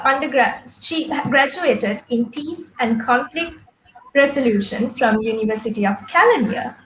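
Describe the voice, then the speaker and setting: A fairly high-voiced Sri woman gives a polite, formal webinar introduction over a video call. Her voice rings in an echoey room, and faint noise hums behind her. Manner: polite, formal, reads guest bios. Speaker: webinar moderator.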